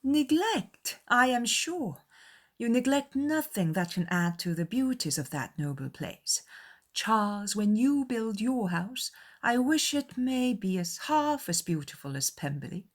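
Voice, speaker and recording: A woman's voice: fairly high pitch, big pitch swings, a little slow, pitched above the performer's own voice. A woman voicing a haughty, fawning young society lady in a dramatized period novel. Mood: gushing, superior.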